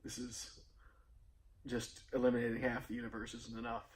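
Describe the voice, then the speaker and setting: A man's voice: mid-range voice, big pitch swings, normal speed, gravelly, poor audio. Laid-back young man, faint noise. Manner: laid-back, laughs often. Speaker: guest. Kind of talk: casual movie review chat.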